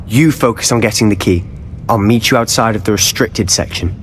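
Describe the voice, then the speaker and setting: A man's voice: lowish voice, brisk, a bit bright. A man playing a confident, roguish young student in a video game, with a British accent and an assured, conspiratorial manner. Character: confident, roguish male student schemer, loyal and cheeky. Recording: long reverb, faint noise.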